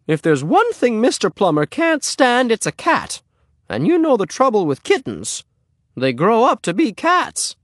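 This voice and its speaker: A chatty storybook housewife, acted out by a man, pitched well above a man's talk. She is brisk and exclamatory, swooping up and down with polite firmness. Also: wildly swooping pitch, fairly bright tone, no echo, studio quality, heavily acted. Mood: brisk, wary.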